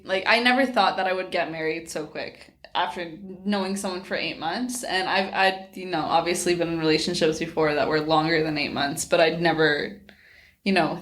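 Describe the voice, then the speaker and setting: A woman in her twenties co-hosting a casual couple Q&A vlog, chatty and giggly, in a small bright room. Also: fairly low pitch. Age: 20s. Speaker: co-host.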